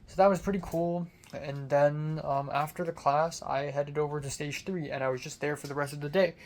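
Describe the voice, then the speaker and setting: A man's voice: fairly high pitch, steady tone, normal speed, a little mellow. A young adult man talking in a casual, rambling personal diary style, informal and conversational, swearing mildly. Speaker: film student vlogger.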